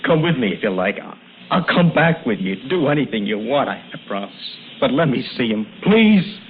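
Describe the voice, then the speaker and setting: An actor playing a desperate, devoted young farm boy, halting and pleading in a radio drama. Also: medium pitch, big pitch swings, a little hiss or hum, lightly acted. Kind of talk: old radio drama acting. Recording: narrow, humming vintage radio recording.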